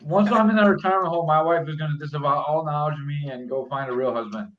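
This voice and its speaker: Loud laughing man in his forties, lo-fi. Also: fairly high pitch, fast. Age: 40s. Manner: loud laughing banter, calls people dog. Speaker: podcast host. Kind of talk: casual chat. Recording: dull lo-fi sound with faint noise.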